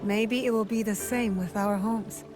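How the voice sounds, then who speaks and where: A woman playing a compassionate but resolute fighter in a historical game drama, soft-spoken and sincere. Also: mid-range voice, steady tone, measured pace, over music, lightly acted. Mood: gentle, reflective. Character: compassionate, resolute female fighter of feudal Japan. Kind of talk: dramatic game cutscene acting.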